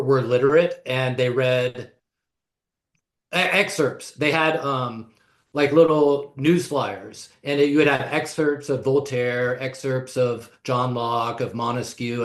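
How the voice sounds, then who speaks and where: A man from the United States, a podcast guest, explaining ideas in a thoughtful, unhurried way with frequent pauses. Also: medium pitch. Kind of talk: casual conversational discussion. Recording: echoey room.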